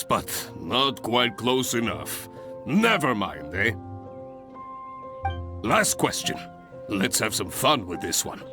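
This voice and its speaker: An actor playing a hearty, encouraging guild examiner in a video game, with a jovial, theatrical manner. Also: male voice, medium pitch. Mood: let down, consoling. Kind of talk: video game character acting.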